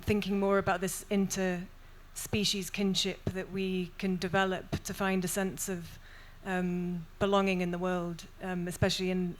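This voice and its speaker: A young Welsh woman poet speaking thoughtfully on a festival panel, with many pauses and restarts. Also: fairly low pitch. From Wales. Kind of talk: panel discussion and poetry reading.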